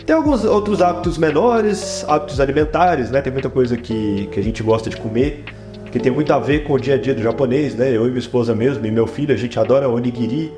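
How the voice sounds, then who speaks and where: A man's voice: medium pitch, quick pace. A man with a Brazilian Portuguese accent giving talkative, explanatory interview answers, often adding tag questions. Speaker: interview guest, photographer and production director. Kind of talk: conversational interview answers with personal anecdotes. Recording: roomy echo, guitar music behind. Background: guitar music.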